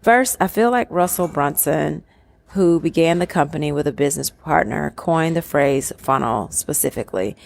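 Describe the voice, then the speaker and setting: A woman with a lowish voice hosts her own podcast, her voice a touch raspy from the early hour. She talks in an upbeat, conversational way, recorded from a room at a resort. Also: expressive, normal speed, darkish tone. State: slightly raspy from early morning. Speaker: podcast host. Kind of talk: solo podcast monologue.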